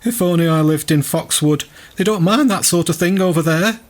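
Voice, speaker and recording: An actor playing an earthy, sarcastic dog owner in an audio drama, husky and bluntly indignant. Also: mid-range voice, moderate pace, heavy background noise, lightly acted. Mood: wry, resigned. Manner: blunt, sardonic, rustic speech.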